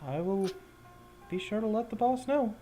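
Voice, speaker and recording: Man playing evasive merchant scout, higher-pitched. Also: moderate pace, warm tone, soft background music, natural voice.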